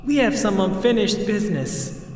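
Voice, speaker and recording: A man's voice: sits high, lively intonation. An actor playing a taunting male villain with a grudge in a video game cutscene, drawing out the words. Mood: vengeful, taunting. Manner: slow, taunting delivery. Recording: echoey hall, distant, faint noise.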